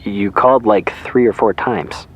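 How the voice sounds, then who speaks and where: An actor playing a teasing, dry-humored friend on the phone. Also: male voice, fairly low pitch, unhurried, slightly rough, poor audio. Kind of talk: web series drama acting.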